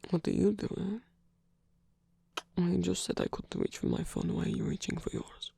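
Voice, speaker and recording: A man playing a flirtatious, theatrical rock singer in an intimate ASMR romance roleplay, teasing and murmuring with breathy, husky, hesitant speech. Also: sits high, wildly swooping pitch, moderate pace, dark tone, dry recording, full character voice. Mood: amused, touched. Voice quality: breathy, husky, often whispered. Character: flirtatious theatrical male rock singer, tired, playfully indulgent lover.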